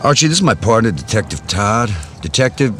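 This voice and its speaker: An actor playing a gruff, dry-humored police detective in a noir audio drama. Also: male voice, lowish voice, sing-song, a little fast, a bit bright, soft background music. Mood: businesslike.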